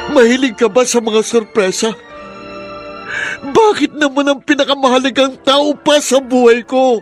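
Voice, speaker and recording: A young, devoted younger sibling in a Filipino radio drama. The voice is very high and tender, with a Filipino accent and faint music around it. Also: female voice. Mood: anguished, pleading.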